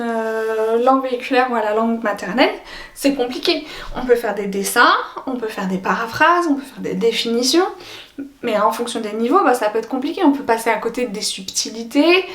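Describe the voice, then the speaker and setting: A young woman language teacher explaining her views to camera, thoughtful, pausing often on fillers. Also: high voice, roomy sound. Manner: thoughtful, hesitant with frequent fillers. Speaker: French as a foreign language teacher.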